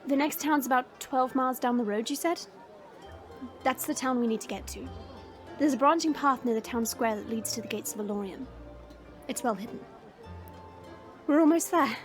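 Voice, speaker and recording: A woman's voice: a little high, moderate pace, pauses often, soft background music, natural voice. A woman playing a practical, dry female adventurer in a fantasy audio drama.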